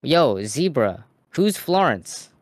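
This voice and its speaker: A young man co-hosting a casual podcast, excitable and slangy, reading the stream chat aloud. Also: mid-range voice, slow pace, dry recording, studio quality.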